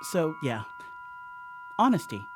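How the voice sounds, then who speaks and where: Radio play lead: a rattled young guy, slightly high voice, rambling and defensive, conversational with emphatic bursts. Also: very animated, slow pace, a little hiss or hum. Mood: sheepish, rambling. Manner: conversational, self-excusing, emphatic swings. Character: rattled, rambling young male house sitter writing a confessional note. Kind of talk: dramatic first-person radio play monologue.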